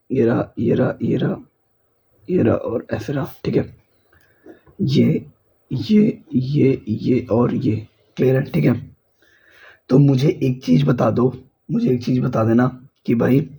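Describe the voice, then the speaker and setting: Hoarse male teacher. Husky, gravelly, very dark tone. Exam-prep lecture pace with constant check-ins. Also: medium pitch. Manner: repeats checks like "clear?" and "okay?". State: hoarse from a cold. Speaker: chemistry teacher. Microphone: speaker's mic is faulty.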